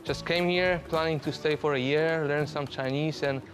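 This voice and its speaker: Man, relaxed storyteller. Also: on the high side, moderate pace, faint music behind. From Poland. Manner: relaxed, warm anecdotes, filler "uh". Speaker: tattoo artist. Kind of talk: interview answers.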